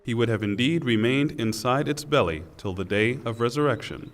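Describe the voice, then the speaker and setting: A man reading a scripture translation in a solemn, formal, deliberate way, with pauses. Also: medium pitch, animated. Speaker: translation reader. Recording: long hall reverb.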